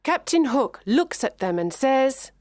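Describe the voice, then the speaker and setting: Slow, measured female story narrator. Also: high-pitched, big pitch swings, a little mellow, dry recording, studio quality. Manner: deliberate, carefully enunciated. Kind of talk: scripted children's story narration for English learners.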